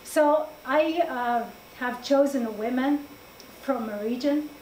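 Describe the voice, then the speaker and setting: A woman from Morocco presenting to an audience in a halting way, with many fillers and frequent laughs. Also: high-pitched, a little mellow. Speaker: guest presenter. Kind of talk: informal talk to an audience. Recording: echoey room, distant mic, faint noise.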